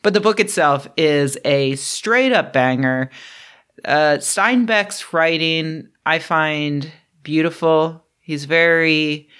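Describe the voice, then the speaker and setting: A young woman talks off the cuff about books in an unhurried, meandering way, full of little fillers and self-mocking asides. Her voice is a touch throaty from a lingering cold. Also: sits low, a bit bright, clear, resonant tone. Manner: rambling, self-deprecating, with frequent fillers and laughs. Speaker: book vlogger. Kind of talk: unscripted casual vlog.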